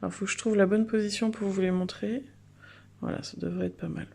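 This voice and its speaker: A woman's voice: mid-range voice, fairly even intonation, fairly quick, creaky, darkish tone, husky. A woman giving chatty, enthusiastic casual commentary as the host of a card unboxing video.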